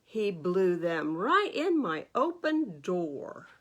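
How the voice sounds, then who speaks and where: A woman voicing a polite, matter-of-fact goat from a children's picture book, in a measured, formal manner. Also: on the low side, very animated, highs cut off, exaggerated voice. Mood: firm.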